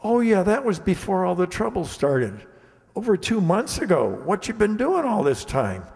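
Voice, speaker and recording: A resigned, lonely security guard in a radio play played by a man. His voice sits a little high with plenty of gravelly creak, and he rambles folksily. The hall adds a long reverb. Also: wildly swooping pitch, moderate pace, darkish tone, exaggerated voice. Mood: surprised, friendly. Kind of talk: amateur radio play acting.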